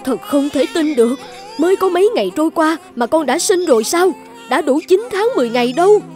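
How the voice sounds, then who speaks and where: An actress playing a soft-hearted middle-aged mother and doting grandmother, exclaiming and pleading in fairy-tale dubbing. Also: sits high, lively intonation, brisk, soft background music. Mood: astonished.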